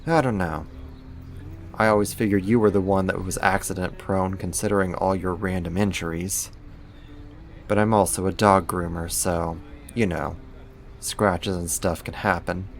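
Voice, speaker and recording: A man acting in an ASMR roleplay as a caring, wry young supervillain roommate, speaking softly and conversationally with hesitant pauses and gentle teasing. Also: deep voice, steady tone. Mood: casual, wry.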